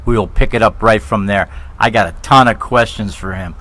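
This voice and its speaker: A man from New York hosting a live talk show, excitable and joking, often repeating himself as he steers the interview. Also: fairly low pitch, brisk.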